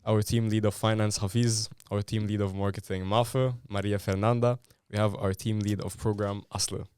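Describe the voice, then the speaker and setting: Low, smooth-voiced male podcast host. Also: level tone, normal speed, darkish tone, no room sound, clean studio sound. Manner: playful, teasing questions. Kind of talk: casual interview chat.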